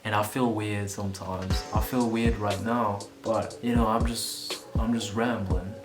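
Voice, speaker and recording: A man's voice: fairly low pitch, fairly even intonation, a little slow. A man in his twenties, born in Vietnam, rambling casually to a camera about music, self-conscious and swearing now and then. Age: 20s. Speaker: vlogger and musician. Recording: roomy sound with music underneath.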